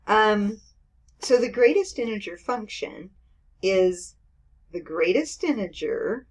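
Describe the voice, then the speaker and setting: A woman's voice: medium pitch, lively intonation, slow, slightly rough. A woman in her fifties teaching math in a casual screen-recorded lecture, explaining with everyday examples and checking in with "okay" and "right". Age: 50s.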